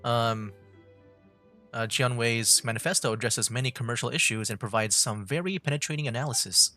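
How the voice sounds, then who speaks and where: Bright-voiced casual man streaming games. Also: mid-range voice. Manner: casual, halting when reading aloud. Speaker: gaming streamer. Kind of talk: livestream gameplay commentary and reading aloud. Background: game music.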